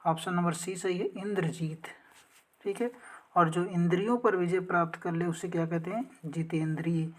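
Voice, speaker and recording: A woman's voice: on the low side, fairly even intonation, moderate pace. A woman teacher explaining practice exam questions and answers in a methodical, instructional way, reading out each option.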